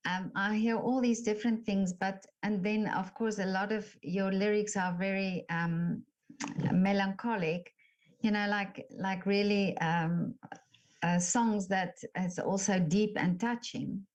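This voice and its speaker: A woman's voice: medium pitch, steady tone, measured pace, darkish tone, lo-fi sound. A woman interviewing a guest over a video call, effusive and complimentary, with many fillers.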